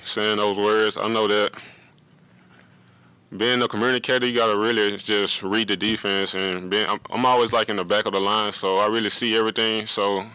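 Bright, clear young man at a mic. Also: lowish voice, little pitch movement, no echo, rough recording. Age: young adult. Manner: halting, searching for words. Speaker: college basketball player. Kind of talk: post-game press conference. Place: press room at a table before a logo backdrop. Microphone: table mic.

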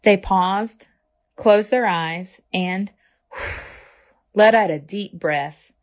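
A woman reading a children's picture book aloud as a library storyteller, with expressive, deliberate phrasing. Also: medium pitch.

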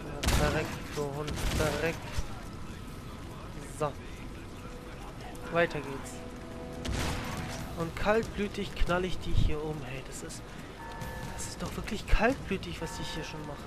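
Rambling young German gamer, crude. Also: male voice, fairly high pitch, fairly even intonation, takes it slowly, pauses often. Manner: rambling, crude slang, loud outbursts. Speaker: let's play host. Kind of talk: casual gaming commentary. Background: game music and sounds.

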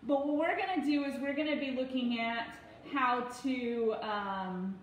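A woman's voice: a little high, unhurried. A woman in her thirties talking casually through instructions in a patient but firm, step-by-step way. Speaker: parent giving a cooking demonstration. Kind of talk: casual instructional talk to camera and child. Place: home kitchen, indoors. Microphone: phone propped on counter, about arm's length. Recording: very echoey room, faint noise.

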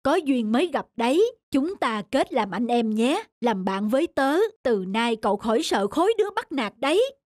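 A woman voicing a sly hawk villain who feigns kindly friendship, smooth-talking and persuasive, in an animated folktale. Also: on the high side, normal speed, no echo. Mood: falsely friendly. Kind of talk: animated children's folktale acting.

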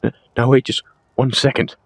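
A man playing a cowardly, entitled duke in an audio drama, with a husky voice and a stammering, pompous, pleading manner. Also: mid-range voice, flat delivery, normal speed, dry recording. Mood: panicked pleading. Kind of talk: scripted villain roleplay audio drama.

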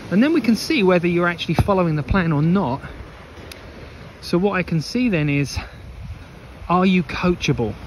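Male running coach vlogging outdoors, slightly high voice, emphatic and repetitive delivery, clear wind noise on the mic. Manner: emphatic, repeats phrases, asks rhetorical questions. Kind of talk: opinionated off-the-cuff advice monologue to camera. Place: outdoors on a beach walk. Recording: windy, noisy outdoor mic, rumbling background. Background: wind on the microphone, ambient noise.

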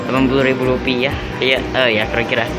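A young man casually vlogging as he walks through a large indoor buffet restaurant on a handheld camera, chatting excitedly to his viewers. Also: medium pitch, fast, loud music behind the voice. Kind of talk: casual walk-through vlog commentary.

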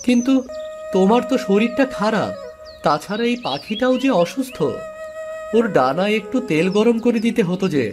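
A poor, kind-hearted cartoon village boy, voiced by a man. He sounds earnest and plaintive, very high and very animated, with music underneath. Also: normal speed. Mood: weary, concerned. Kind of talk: animated moral story acting.

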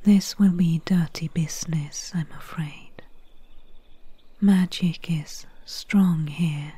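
Breathy, very slow swamp witch, acted by a woman. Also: fairly low pitch, no room sound, heavily acted. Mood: quiet, wry. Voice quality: breathy, whispery. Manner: slow, unhurried, hushed, soothing. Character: calm, mercenary swamp witch healer, gently wry. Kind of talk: soft-spoken ASMR roleplay. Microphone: close microphone.

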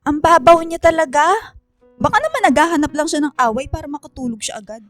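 High, sassy young Filipina friend, acted by a woman. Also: quick pace, dry recording, faint music behind, lightly acted. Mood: teasing, disapproving. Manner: blunt, sassy, chatty. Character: blunt, gossipy young female student friend, teasing. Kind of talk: Filipino radio drama acting.

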